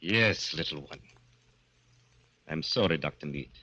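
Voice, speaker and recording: A man's voice: a bass voice, measured pace, pushed and bright, slightly rough, dry recording, muffled recording, full character voice. An actor playing a huge, cold, menacing vaudeville strongman in an old radio horror drama, with stiff, commanding speech. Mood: smooth menace.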